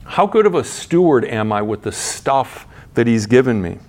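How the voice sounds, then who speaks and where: A man's voice: mid-range voice, big pitch swings, moderate pace, darkish tone. Middle-aged man preaching conversationally. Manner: conversational preaching with frequent restarts and rhetorical questions. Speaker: pastor. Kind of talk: sermon.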